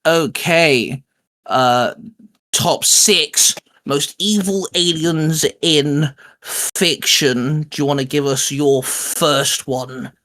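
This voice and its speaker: A man's voice: fairly high pitch, extremely slow, pushed and bright. A man hosting casually, talking in an informal, hesitant, improvised way. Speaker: YouTube channel host. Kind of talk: casual, improvised skit and chat.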